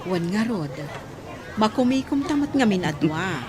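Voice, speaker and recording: A woman's voice: medium pitch, big pitch swings, unhurried. A woman playing a friendly village acquaintance in a radio drama. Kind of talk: Ilocano radio drama acting. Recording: busy outdoor crowd noise behind.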